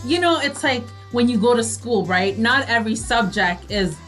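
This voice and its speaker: A woman's voice: on the high side, animated, normal speed, fairly bright tone, faint music behind. A woman co-hosting a radio show in a casual, explanatory way, restating points for emphasis. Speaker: radio talk show co-host.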